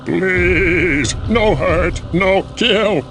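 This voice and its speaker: A man voicing a cowardly demon creature in a video game, pleading and bargaining in halting, broken speech. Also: high-pitched, a bit bright, a little hiss or hum, exaggerated voice. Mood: pleading, servile. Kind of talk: video game character acting.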